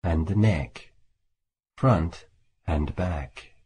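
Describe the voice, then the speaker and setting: Low, mellow man guiding meditation. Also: slightly rough. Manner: calm, measured, with long pauses between phrases. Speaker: Buddhist monk, meditation teacher. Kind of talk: guided meditation. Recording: faint background hiss.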